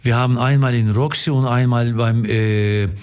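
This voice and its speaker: A middle-aged or older man from Istanbul with a Turkish accent, telling stories as a radio guest, haltingly and repeating words for emphasis. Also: medium pitch, fairly even intonation, a little mellow, clear, resonant tone. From Istanbul, Turkey. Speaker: interview guest, theatre director. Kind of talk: casual storytelling in an interview.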